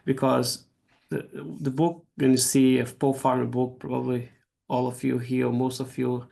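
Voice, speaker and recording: Brazilian-accented man, middle-aged, gravelly voice, halting lecture delivery on a video call. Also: medium pitch, flat delivery, dark tone. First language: Portuguese. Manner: halting, frequent restarts and repeated words. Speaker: guest speaker. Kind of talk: academic seminar lecture.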